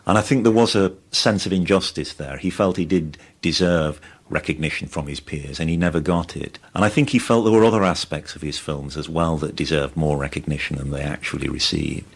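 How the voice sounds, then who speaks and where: A man's voice: a bass voice, fairly quick, slightly rough. A man speaking as a film commentator, recalling and describing in a documentary interview.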